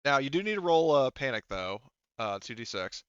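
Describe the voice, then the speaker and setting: A man's voice: mid-range voice, sing-song, normal speed, smooth, no room sound. A man speaking as game master of a tabletop roleplaying game, casually profane, talking players through the rules. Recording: dull, lo-fi close dry voice track.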